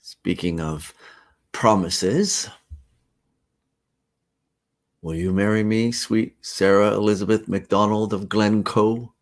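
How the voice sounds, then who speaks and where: A devoted, joking husband, read by a man in his own fairly low voice. He speaks slowly, with a gravelly rasp, and switches between mock-formal teasing and tenderness. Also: steady tone. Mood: earnest.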